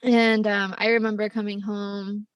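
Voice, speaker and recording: A woman's voice: medium pitch, flat delivery, a little slow, clean tone, dry recording, recorded from a distance, lo-fi sound. A young woman who grew up in Costa Rica, telling her story as a podcast guest, laughing often and rambling with many fillers. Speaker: podcast guest, plant medicine facilitator. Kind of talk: casual interview storytelling.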